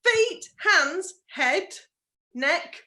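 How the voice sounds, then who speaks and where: Woman, podcast co-host, rambling, giggly, very bright tone. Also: extremely high pitch, animated, drawn-out words. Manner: earnest, giggly, rambling explanations. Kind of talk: casual chat.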